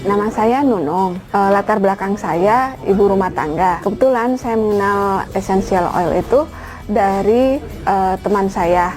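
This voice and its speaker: A woman giving an informal, somewhat halting personal testimonial as a housewife. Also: medium pitch. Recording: music bed behind voice. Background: background music.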